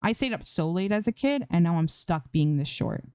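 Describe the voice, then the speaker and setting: A woman's voice: lowish voice, expressive, normal speed, warm tone, clean tone, no room sound, studio quality. A woman voicing a bubbly, anxious young female streamer in a story reading, chirpy and a little scattered. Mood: cheerful, teasing.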